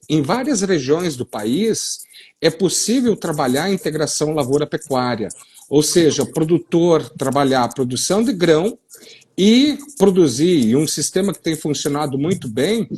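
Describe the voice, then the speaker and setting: Brief: middle-aged man, southern Brazilian gaúcho-tinged accent, slightly high voice with a husky edge, digressive explainer on a video call. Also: darkish tone. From western Paraná, Brazil. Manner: digressive, explanatory, with many fillers and restarts. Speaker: soil science consultant on a live stream. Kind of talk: explanatory live-stream talk.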